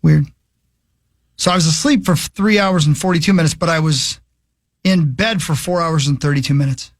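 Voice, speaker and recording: A man's voice: fairly high pitch, normal speed, no echo, studio quality. A man co-hosting a sports radio show, chatting and teasing his co-hosts in a casual, rambling way. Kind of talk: casual banter.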